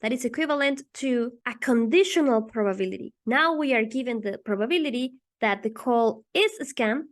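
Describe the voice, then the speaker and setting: Woman calmly walking through a probability problem. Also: on the high side. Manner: methodical, measured explanation with rhetorical questions. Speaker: teacher. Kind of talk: explaining a math problem while writing on paper.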